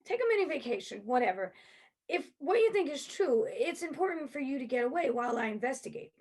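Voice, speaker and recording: A woman playing a female private investigator, outwardly sympathetic and halting, in a dramatic stage play reading. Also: sits high, very animated, a little mellow. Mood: placating. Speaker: actor in a play workshop.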